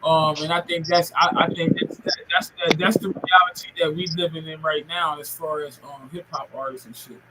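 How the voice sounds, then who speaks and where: A man's voice: on the high side, little pitch movement, moderate pace, hardly any pauses, very noisy. A man in his forties hosting a podcast in casual, rambling, slangy and profane talk. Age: 40s. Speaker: podcast host. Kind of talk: casual interview chat.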